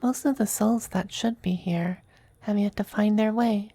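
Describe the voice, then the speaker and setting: A woman playing a tender, possessive male demon femboy in an intimate ASMR roleplay, soft and breathy, often whispering, with a hesitant, coaxing manner. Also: mid-range voice, steady tone, dry recording. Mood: playful, intimate. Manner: slow, hesitant, gently coaxing.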